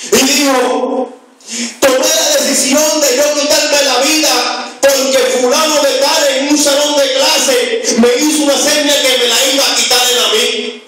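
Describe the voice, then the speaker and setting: A man's voice: extremely high pitch, fairly bright tone. A man in his thirties preaching an emphatic sermon as an evangelist, repeating phrases for effect, speaking into a handheld microphone in a small church. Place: small church with hard walls, indoors. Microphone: handheld mic through PA, recorded by phone from afar. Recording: very echoey hall, distant phone recording, music.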